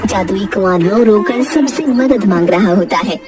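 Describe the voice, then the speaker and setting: A woman's voice: mid-range voice, a little slow, far from the mic. A woman reading a scripted children's story as narrator, with music playing behind her. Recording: music under the voice.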